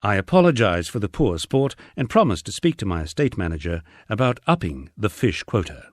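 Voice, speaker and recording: A man's voice: on the low side, sing-song, brisk. A man reading a memoir as a scripted audiobook narration.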